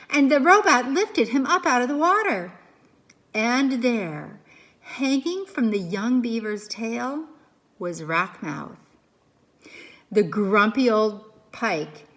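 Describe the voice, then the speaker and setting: Slow, animated woman reading a storybook. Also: high voice. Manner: dramatic pauses, questions to young listeners. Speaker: teacher reading aloud to class. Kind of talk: expressive children's storybook narration.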